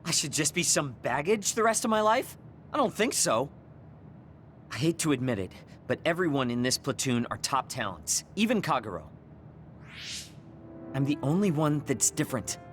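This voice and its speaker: A man's voice: fairly high pitch, very animated, normal speed, pauses often, a little hiss or hum. A young man playing a proud, insecure platoon fighter in an anime game dub, speaking in a brusque, intense, self-critical way. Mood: frustrated, despairing.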